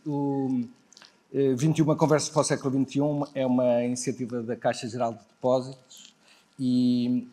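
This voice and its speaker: A man with a European Portuguese accent hosting a semi-formal stage conversation, pausing often between short phrases. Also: medium pitch, little pitch movement, warm tone. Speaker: interview host.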